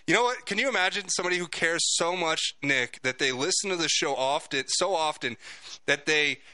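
A man's voice: fairly high pitch, brisk, pushed and bright, no echo. A man hosting a talk radio show, chatting casually with rambling, jokey asides.

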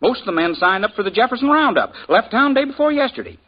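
An actor playing a jovial, talkative frontier blacksmith with a folksy drawl in a 1950s radio drama. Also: male voice, extremely high pitch, sing-song, quick pace, full character voice. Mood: curious. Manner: folksy drawl, chuckling, nosy. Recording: dull old radio broadcast.

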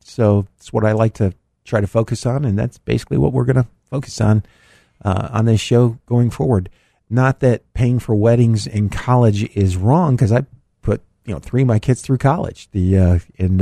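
A middle-aged man hosting a live call-in radio show from a studio, his voice slightly raspy and congested, talking in a rambling way with many fillers. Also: medium pitch, moderate pace, dark tone, dry recording. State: raspy, a bit congested after illness. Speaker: radio show host, financial advisor.

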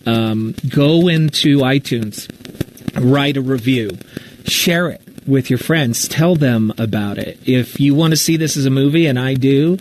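A man's voice: medium pitch, unhurried, a little hiss or hum, poor audio. A man talking casually and candidly about his own work in a live Q&A, with a self-deprecating manner. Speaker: radio talk show host and podcast storyteller.

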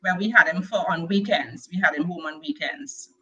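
A woman from Tobago with a Tobagonian accent tells her life story as an interview guest. Her voice is very bright and forward. She speaks gently, pausing often with fillers and restarting her sentences, and the recording sounds a little dull. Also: fairly low pitch, slightly rough. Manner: soft-spoken, hesitant with many fillers and restarts. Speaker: guest sharing her testimony. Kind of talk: personal testimony interview.

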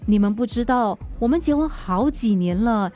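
An actress plays a thirty-something wife with a high, emotive voice. She is earnest and easily wounded, pleading her case in radio-drama style. Faint music sits behind her. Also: lively intonation, moderate pace, mellow, natural voice. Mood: weary, aggrieved. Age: 30s.

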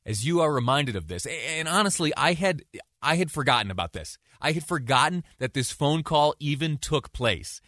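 A man hosting a talk show, giving conversational news commentary in a deliberate way with rhetorical questions and emphatic repetition. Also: fairly high pitch, lively intonation, fairly bright tone, no room sound, studio quality.

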